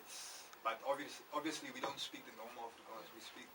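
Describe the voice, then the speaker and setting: A man's voice: medium pitch, fairly even intonation, fairly quick. A man with a Cape Town English accent, a rapper talking in a radio interview, laid-back, with lots of "you know" and "man". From South Africa. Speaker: rapper guest. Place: radio studio. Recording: distant mic with noisy background.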